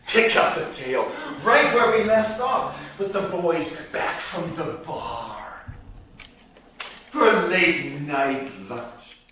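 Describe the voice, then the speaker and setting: A man acting a haunted, sardonic storyteller in a dramatic stage monologue, deliberate and theatrical, with long pauses. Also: fairly high pitch, expressive, bright, forceful tone, heavily acted. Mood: confiding, wry. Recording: roomy echo, distant mic, faint noise.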